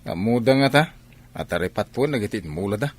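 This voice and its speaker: A man's voice: lowish voice, lively intonation, fairly quick. A man with a Filipino accent playing a stern, exasperated elder brother who scolds and grumbles in a village comedy radio drama. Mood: grumbling.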